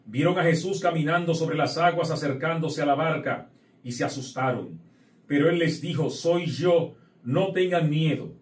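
Echoey priest, fairly high male voice. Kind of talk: liturgical prayer, scripture reading and address to the faithful. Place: indoor chapel set at an altar. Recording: echoey room, distant microphone.

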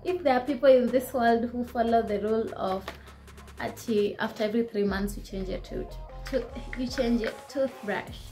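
A woman's voice: on the high side, normal speed, mellow, faint music behind. A young woman in her twenties with a Kenyan accent, chatting casually about her shopping haul as she holds up each item to the camera in her living room. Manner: chatty, code-switching, shows items to camera. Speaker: vlogger.

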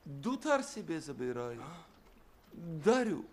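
A man's voice: on the high side, sing-song, slow pace, full character voice. A man playing an emotional Eastern trader in a cartoon film, effusive and haggling. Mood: resigned. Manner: effusive, haggling, tag questions. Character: emotional male Eastern trader with camel and dutar. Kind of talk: animated film acting.

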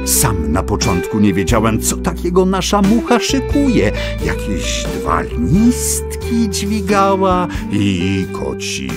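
An actor playing a whimsical storyteller, narrating a children's audio fable with dramatic, savoring pauses. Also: male voice, a little high, heavily acted.

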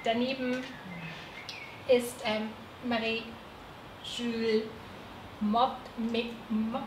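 A woman's voice: on the high side, flat delivery, drawn-out words. A woman moderating a live panel discussion, hesitant with many fillers. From Turkey. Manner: hesitant, many fillers, laughs at own slips. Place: seminar room with a panel. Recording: echoey room, background noise.

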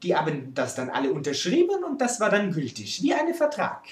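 A woman's voice: on the low side, huge pitch swings, fairly quick, darkish tone. A woman playing a vain, theatrical male French artist with a French accent, presenting a comic art history lecture in broken German. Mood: lecturing. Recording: roomy, echoing amateur recording.

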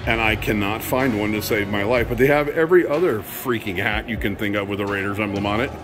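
A man's voice: fairly low pitch, fast talker. A middle-aged man giving casual, slangy vlog commentary, recorded on a handheld camera in a large store. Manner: informal slangy exclamations. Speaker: vlogger. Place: large busy retail store. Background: music.

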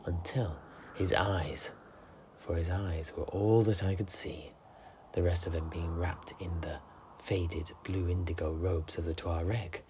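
A man plays a worldly old-world tavern keeper telling fantasy tales. His low voice is very husky and breathy. He speaks slowly, with dramatic pauses and rambling asides. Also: heavily acted. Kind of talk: in-character fantasy storytelling.

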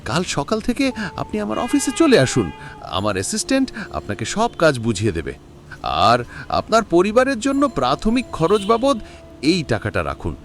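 A man playing a generous, formal wealthy businessman in a cartoon, speaking in a measured, benevolent way. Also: a little high, wildly swooping pitch. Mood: kindly, insistent.

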